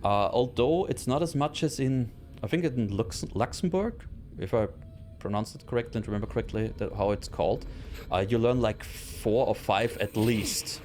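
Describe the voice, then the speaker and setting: A man's voice: medium pitch, moderate pace, darkish tone, faint music behind. A man with an Austrian German accent, a native German speaker, chatting casually with his stream chat while playing a game, hesitant with many fillers and restarts. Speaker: let's play streamer. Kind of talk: casual live gameplay chat.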